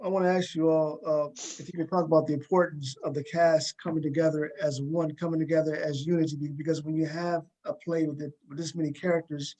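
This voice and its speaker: Guy talk show host, voice a bit high, casual, keeps repeating words when he starts. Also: little pitch movement, quick pace, hardly any pauses, warm tone, rough recording. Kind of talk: casual panel interview.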